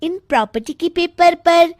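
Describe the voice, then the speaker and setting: Woman playing a very high, girlish daughter-in-law. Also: a little slow, a little mellow. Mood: gloating. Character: young, deferential yet scheming younger daughter-in-law. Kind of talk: cartoon moral-story acting in Hindi.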